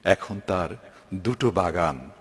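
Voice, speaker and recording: A man's voice: low voice, lively intonation, slow, breathy edge. A man giving scripted narration for a children's moral story.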